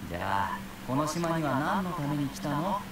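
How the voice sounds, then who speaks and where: A man's voice: fairly high pitch, big pitch swings, fast, distant mic, background noise. An actor playing a dutiful young male Japanese police officer in a visual novel, exasperated but patiently questioning.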